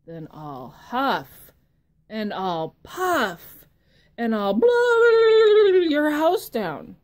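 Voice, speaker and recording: A woman's voice: high voice, sing-song, darkish tone, pitched above the performer's own voice, heavily acted. A woman growling as the big bad wolf. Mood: menacing. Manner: slow, drawn-out threats.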